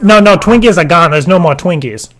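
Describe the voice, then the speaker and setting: An earnest, clueless dad acted by a man talks in a high-pitched voice. He is deadpan and stubborn, stammering and repeating himself through a comic prank call. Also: fairly quick. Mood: confused, earnest. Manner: hesitant, stammering, repetitive, deadpan.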